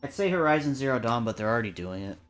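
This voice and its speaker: A man's voice: medium pitch, big pitch swings, very fast, fairly bright tone, soft background music. A man chatting informally and with some swearing on a live-streamed panel about entertainment. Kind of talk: casual group chat about games and films.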